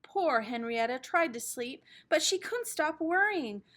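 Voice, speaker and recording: A woman teacher reading a children's storybook aloud in an expressive, dramatic storytelling manner. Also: high voice, a little slow.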